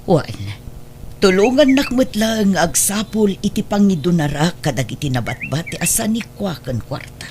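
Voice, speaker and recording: A woman's voice: fairly low pitch, very animated, moderate pace, a little mellow. A woman playing a stern, wealthy middle-aged mother in an audio drama, firm and reproachful, with a Northern Philippine accent. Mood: resolute, calm. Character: stern, wealthy ailing adoptive mother putting her affairs in order. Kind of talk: emotional audio drama acting. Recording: clear background noise.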